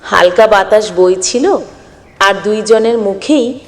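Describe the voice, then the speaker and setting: A woman's voice: mid-range voice, takes it slowly. A woman narrating a scripted moral story as the storyteller. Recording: long echoing reverb.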